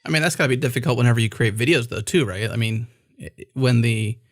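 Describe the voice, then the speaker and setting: A man's voice: mid-range voice, fast. A man hosting a casual podcast interview. He asks probing questions and hedges as he goes, with phrases like "I suppose" and frequent restarts. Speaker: podcast host.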